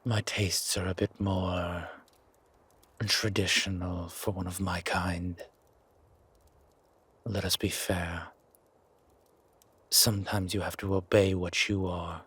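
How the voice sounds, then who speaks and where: A man playing a gruff, wary but grudgingly kind werewolf in a roleplay audio drama, with a raspy, growling, half-whispered voice and terse, brooding delivery. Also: deep voice, flat delivery, fairly bright tone, heavily acted. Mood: calm, confiding. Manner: slow, brooding, terse commands.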